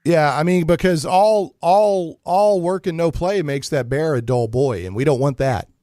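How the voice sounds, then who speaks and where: A man hosting a casual geek-news talk show, chatting in a jokey, animated way with playful emphasis. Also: on the high side, normal speed, no room sound, clean studio sound. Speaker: radio talk show host.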